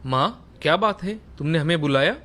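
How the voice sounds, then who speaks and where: A man's voice: a little high, animated, moderate pace, fairly bright tone. A man voicing a dutiful, agreeable grown son from a village in a cartoon story. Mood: obliging. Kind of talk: Hindi cartoon story voice acting.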